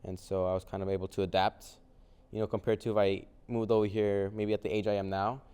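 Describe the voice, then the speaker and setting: A young man from Mexico, a native Spanish speaker, answering interview questions in a relaxed way, full of "um" and "like". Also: on the low side, fairly quick, clear, resonant tone. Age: young adult. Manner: relaxed, many fillers like "um" and "like". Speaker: guest, vintage clothing reseller. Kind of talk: casual interview conversation.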